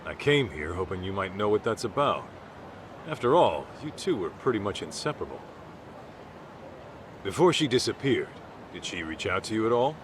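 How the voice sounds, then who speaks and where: An actor playing a gruff, blunt middle-aged detective with a wounded heart and a halting delivery, in a video game cutscene. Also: male voice, fairly low pitch, big pitch swings, background noise. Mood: serious. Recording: studio voice with music behind.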